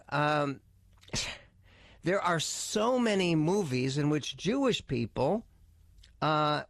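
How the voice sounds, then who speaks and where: A man's voice: fairly high pitch, no echo. An older man hosting a radio talk show, mixing read-out news with loose, wry commentary full of fillers and restarts. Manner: conversational, frequent uh fillers and restarts, wry asides. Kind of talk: radio commentary, partly reading aloud.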